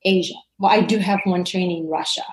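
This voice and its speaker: A woman's voice: lowish voice, fairly even intonation, a little fast. Guest voice: woman in her forties from Indonesia, chatty and laughing, recorded in a reverberant room. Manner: chatty, warm, laughs often, many restarts. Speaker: parent coach, guest. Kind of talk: casual interview answers.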